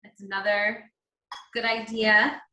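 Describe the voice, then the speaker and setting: A woman talks through a simple recipe in a chatty, upbeat, instructive way. Her voice is bright and forward. It has a noticeable echo from the room around her. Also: medium pitch, level tone, takes it slowly, a little hiss or hum. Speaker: guest demonstrating a recipe. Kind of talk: cooking demo chat on a video call.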